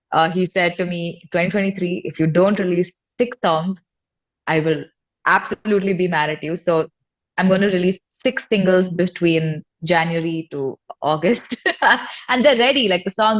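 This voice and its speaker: A woman's voice: on the low side, dull audio. A woman with an Indian accent talking informally and effusively as a guest in a casual interview. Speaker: singer-songwriter guest.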